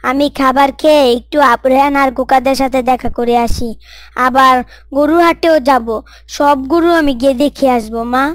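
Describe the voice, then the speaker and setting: A woman plays a cheerful young man in a cartoon story, using a very high and bright voice. He is eager and friendly with his family and friends, and the recording is close and dry. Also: fairly even intonation, normal speed, dry recording, close to an everyday voice.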